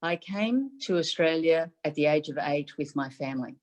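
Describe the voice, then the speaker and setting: Low-voiced woman giving a formal address. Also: lively intonation, darkish tone, highs cut off. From Taiwan. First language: Mandarin Chinese. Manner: measured, formal delivery in short phrases. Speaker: chief medical advisor giving a symposium address. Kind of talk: prepared speech. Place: home room, indoors. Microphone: video call webcam.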